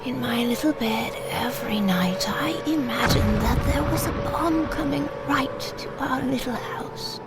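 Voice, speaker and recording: A woman playing a frail elderly dying mother in an audio drama, with a breathy, creaky voice, slowly and haltingly recalling her childhood. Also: a little high, over loud music, close to an everyday voice. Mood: hushed, reminiscing. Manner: slow, halting, reflective storytelling. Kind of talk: intimate audio drama monologue.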